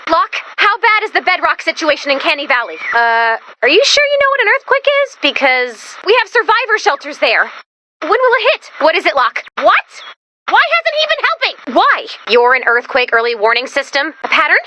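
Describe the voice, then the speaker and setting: A woman voicing an excitable, brainy and neurotic robot companion in a video game, very expressive and quick to get exasperated. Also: very high-pitched, a little hiss or hum, full character voice. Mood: urgent, puzzled. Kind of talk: animated video game voice acting.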